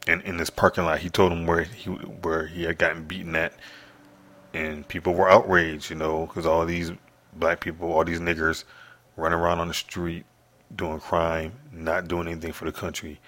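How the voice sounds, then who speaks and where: A man hosting his own podcast from an apartment, speaking softly in a halting, rambling monologue full of restarts and fillers. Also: low voice. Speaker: podcast host.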